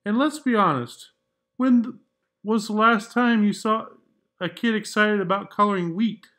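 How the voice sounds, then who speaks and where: A man's voice: high-pitched, normal speed, sharp tone. A man voicing a proud, slighted beige crayon from a storybook in an aggrieved, emphatic manner.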